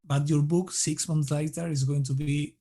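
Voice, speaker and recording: Argentine man, halting, higher-pitched voice. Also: level tone, mellow. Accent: Argentine Spanish. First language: Spanish. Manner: halting, many restarts and fillers, laughs lightly. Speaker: guest. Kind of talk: casual interview answers.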